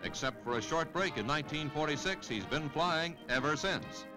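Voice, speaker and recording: A man's voice: a little high, lively intonation, normal speed, rough recording. A man with an American accent reading scripted 1950s newsreel narration in a polished announcer delivery. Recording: 1950s newsreel soundtrack, music behind.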